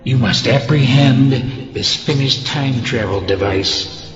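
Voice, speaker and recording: A man playing a huge, solemn, commanding disembodied voice in a comedic audio drama, with reverb. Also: takes it slowly. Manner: solemn, portentous. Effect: booming reverb. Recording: echoing hall effect, dull, music underneath.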